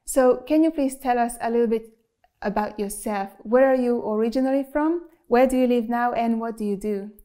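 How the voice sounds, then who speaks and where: A woman hosts an online interview, and her voice is fairly high but mellow. She asks friendly, encouraging questions and welcomes her guest warmly. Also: moderate pace. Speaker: podcast host. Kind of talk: interview hosting. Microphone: video call setup.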